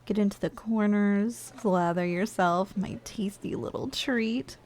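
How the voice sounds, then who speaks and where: A woman's voice: medium pitch, unhurried, a little mellow, lightly acted. A woman voicing a playful, lazy giantess office worker in an intimate ASMR roleplay, coaxing and casually condescending as she speaks straight to the listener.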